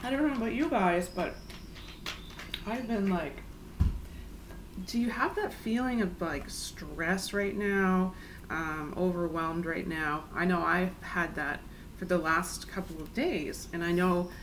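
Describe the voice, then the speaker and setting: Woman, tarot reader, hoarse and raspy today; easygoing, gentle delivery over audible background noise. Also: lowish voice. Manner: soothing, chatty, addresses viewers as guys. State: raspy, clearing throat. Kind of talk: casual spiritual reading.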